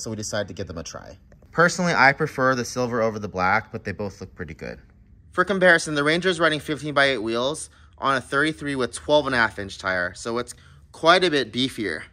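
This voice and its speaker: A man in his thirties talks through a truck repair in a relaxed, plainspoken way. His voice sits at an ordinary middle pitch, and he explains each step as if to a neighbor in his garage. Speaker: garage channel host. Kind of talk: how-to voice-over narration.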